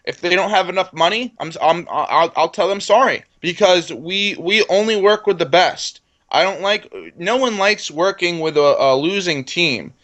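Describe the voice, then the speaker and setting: Self-assured young man with a higher-pitched voice. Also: moderate pace, few pauses, a bit bright, highs cut off. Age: 20s. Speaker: entrepreneur interview guest. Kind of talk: conversational business pitch in an interview.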